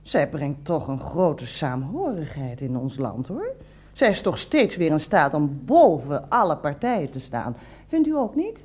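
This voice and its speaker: A woman's voice: low voice, big pitch swings, measured pace, darkish tone, slightly rough, exaggerated voice. A woman playing a prim, deferential housekeeper in a radio play, with folksy tag questions.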